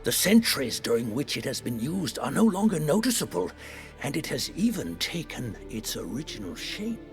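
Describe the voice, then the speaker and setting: A man's voice: mid-range voice, big pitch swings, moderate pace, music in the background, lightly acted. An actor playing a learned, courteous male advisor in a fantasy game, explaining things in formal phrases. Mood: thoughtful, hopeful. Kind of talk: scripted fantasy game cutscene acting.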